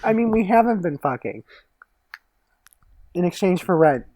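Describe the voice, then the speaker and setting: Brazen, airy female neighbour played by a man, improvised comedy, highly animated pitch, blunt delivery. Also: unhurried, dry recording. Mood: breezy. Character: breezy, brazen female building resident, ditzy and unashamed. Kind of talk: improvised comedy table read.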